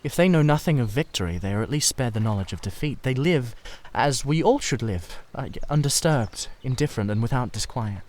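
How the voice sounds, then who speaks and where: A man voicing a sensitive, secretive young painter in a dramatised audiobook, earnest and halting as he confides. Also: mid-range voice, expressive, darkish tone, natural voice. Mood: resigned, brooding.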